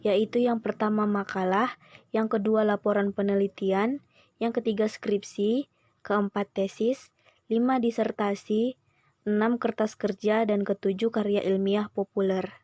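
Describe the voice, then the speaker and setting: A woman's voice: mid-range voice, fairly even intonation, measured pace, darkish tone, no echo, clean studio sound. A young woman presenting a school assignment, steadily reading through a list of lesson points. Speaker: student presenter.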